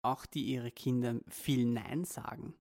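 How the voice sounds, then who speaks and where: A man's voice: medium pitch, unhurried, vocal fry, a little mellow. A man co-hosting a casual parenting podcast, talking in a reflective, rambling way with many restarts. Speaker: podcast co-host.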